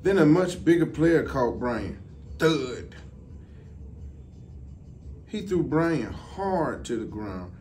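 A middle-aged man reads a children's storybook aloud in a measured, deliberate way. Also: fairly high pitch, lively intonation, darkish tone. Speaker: deputy fire chief. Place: indoor office, seated. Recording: distant mic, some background noise.

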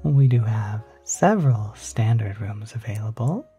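A performer voicing a courteous, eager demon hotel receptionist in a soft, whispery, reassuring ASMR roleplay. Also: medium pitch, expressive, measured pace, breathy edge, soft background music, exaggerated voice. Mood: smooth, inviting. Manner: polite, reassuring, salesmanlike.

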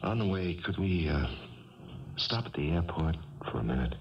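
An actor playing an uneasy, resigned restaurant man, speaking haltingly in a 1970s radio drama. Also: sits low, sing-song. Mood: subdued. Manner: hesitant, halting. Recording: dull, faintly noisy 1970s radio recording.

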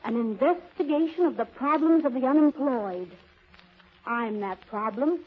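On a thin, tinny old radio recording, a poor young woman hunting for a job. Her voice is high, earnest and pleading, with plucky eagerness in a theatrical 1930s manner. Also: animated, measured pace, breathy edge, heavily acted. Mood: earnest, plaintive. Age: young adult. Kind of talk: 1930s radio drama acting.

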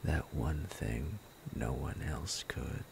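A man voicing a sadistic male bandit captor in an ASMR roleplay, low and gravelly, often whispering in a menacing, playful way. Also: slow, background noise, exaggerated voice. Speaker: voice actor.

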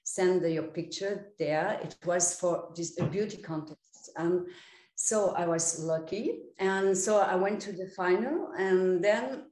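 Woman on a roomy video call. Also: on the low side. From Poland, raised in Paris. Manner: hesitant, restarts often, trails off softly. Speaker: guest, former model and actor. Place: indoors at home.

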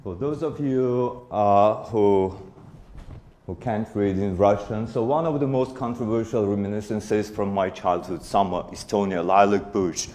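A man's voice: fairly low pitch, measured pace, darkish tone. A man in his forties speaking at a conference in a hall before an audience, hesitant, with many fillers and restarts. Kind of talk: conference talk.

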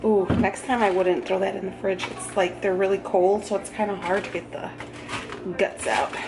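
A woman's voice: mid-range voice, little pitch movement, normal speed. A woman, chatting casually with filler words and self-joking while she cooks in her home kitchen, picked up by a camera mic as she moves around. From United States. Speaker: vlogger. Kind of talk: casual cook-along vlog. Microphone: camera mic, moving about the room. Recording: echoey kitchen with noise.

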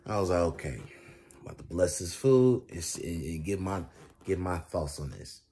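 A man's voice: low voice, animated, normal speed, warm tone. A young American man chatting casually in a vlog, telling a story with repetitive, emphatic slang and a conversational delivery. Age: young adult. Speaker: food reviewer. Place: indoors, reclining on a couch. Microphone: phone at arm's length. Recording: clear background noise, home phone recording.

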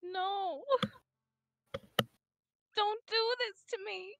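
A despairing anime schoolgirl, performed by a woman, high and bright in voice. She is tender and tearful and blames herself, as in a visual novel drama read aloud. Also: fairly even intonation, extremely slow, dry recording, full character voice. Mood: sobbing, pleading. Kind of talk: staged reading of a visual novel.

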